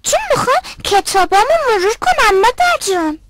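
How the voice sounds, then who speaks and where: A woman's voice: extremely high pitch, very animated, very noisy. A woman voicing an earnest, polite, studious schoolboy in a dubbed educational cartoon.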